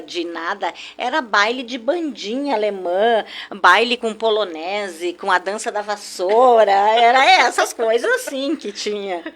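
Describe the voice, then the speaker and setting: Older woman reminiscing. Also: medium pitch. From southern Brazil, German-speaking community. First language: German. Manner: warm reminiscing, frequent "né?" tags. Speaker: guest. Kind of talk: nostalgic radio interview storytelling.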